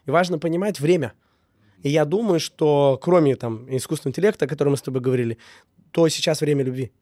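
A man's voice: on the high side, quick pace, warm tone, no room sound, clean studio sound. A man getting over a cold, a business owner talking earnestly in a casual conversation and repeating words for emphasis. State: recovering from a cold.

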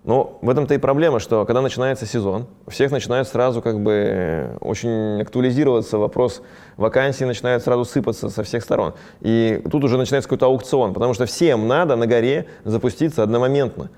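A man talks rapidly and casually, as though answering an interviewer's questions. His voice is dark and mellow, and his speech is full of small fillers and restarts. He sounds like a business owner describing his own operation. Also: mid-range voice. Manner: fast, conversational, many fillers. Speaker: ski resort business owner.

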